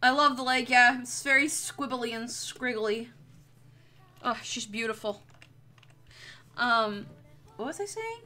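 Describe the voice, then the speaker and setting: A woman's voice: fairly high pitch, lively intonation, slow pace, pauses often, pushed and bright. A young woman streamer chatting casually while she draws, rambling and jokey with plenty of swearing. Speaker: streamer, artist. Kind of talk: casual livestream chat while drawing.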